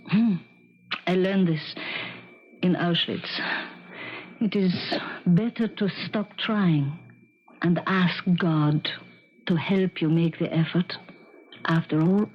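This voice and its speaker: An actress playing a solemn European woman survivor who believes in spirits, speaking gravely and haltingly in a 1970s radio mystery. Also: lowish voice. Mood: gentle, reflective. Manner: deliberate, grave, halting, with reflective pauses. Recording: dull, muffled vintage radio recording.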